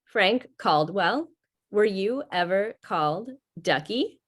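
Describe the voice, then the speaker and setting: An actress playing a placid, literal-minded helpful computer with a measured, impassive manner, in old-time radio style. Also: female voice, medium pitch, lively intonation.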